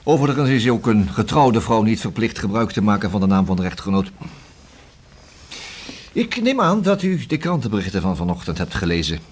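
An actor playing a persistent, ironic police detective in a radio play, speaking formally and unflappably. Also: male voice, on the low side, big pitch swings, brisk, slightly rough. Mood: insistent.

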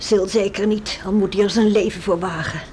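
A woman's voice: medium pitch, fairly even intonation, a little fast, darkish tone, lightly acted. An actress playing a devout, worried farmer's wife and mother in a radio play, earnestly musing aloud to herself. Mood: anxious, devout. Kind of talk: Dutch radio play acting, monologue and dialogue. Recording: faintly noisy radio play recording.

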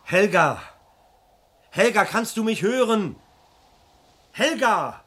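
An actor playing an agitated, commanding police detective, urgent and insistent, in a German radio crime drama. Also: male voice, sits high, sing-song, slow, a bit bright, slightly rough, exaggerated voice. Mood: desperate, urgent.

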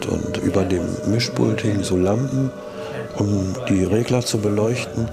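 Older man, deep creaky voice. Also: a little slow, loud music behind the voice. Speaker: studio eyewitness interviewee, sound engineer. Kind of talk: reminiscing in a documentary interview.

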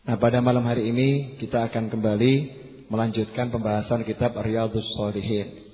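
A slightly hoarse man giving a religious lecture to a congregation, conversational, repeating key phrases and putting questions to his listeners. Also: on the low side, flat delivery, fairly quick, slight background noise. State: slightly hoarse after losing voice. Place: large hall at a religious gathering. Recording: muffled PA with long hall reverb.

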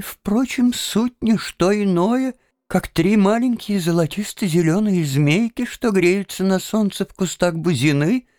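A man's voice: high voice, darkish tone, no echo, clean studio sound. A man voicing a naive, dreamy young student who declaims earnestly and fervently in an audiobook reading. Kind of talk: dramatized audiobook reading of a classic tale.

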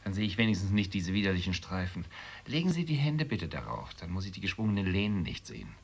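A man's voice: sits low, big pitch swings, fast, gravelly, husky, heavily acted. An actor playing an irritable, sarcastic husband in a radio play, blunt and needling in his delivery. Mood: irritated, sardonic. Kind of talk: German radio play acting.

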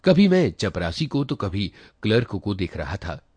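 A man narrating a literary short story in an expressive reading style. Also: lowish voice, a little slow, breathy edge, no room sound. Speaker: story reader.

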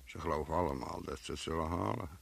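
An actor playing an earthy gravedigger in a radio play, muttering and musing to himself about the dead. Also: male voice, extremely low pitch, fast, vocal fry, husky, full character voice. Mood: wondering, brooding.

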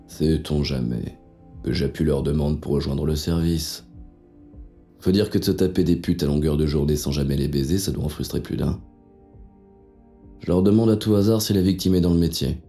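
Very deep, gravelly noir police inspector. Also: male voice, dark tone. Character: cynical, contemptuous male police inspector, hardboiled noir narrator. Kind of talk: first-person noir audio drama narration.

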